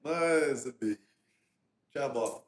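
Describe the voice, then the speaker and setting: A man's voice: medium pitch, drawn-out words, echoey room. A man hosting a livestream, chatting crudely and teasingly while talking straight to his viewers. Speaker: streamer host. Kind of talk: casual livestream banter.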